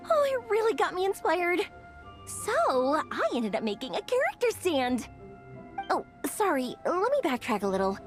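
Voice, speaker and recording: A woman's voice: extremely high pitch, huge pitch swings, normal speed, full character voice. A woman voicing a cheerful young woman who rambles eagerly about her comic hobby. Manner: bubbly, rambling. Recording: game audio with faint music.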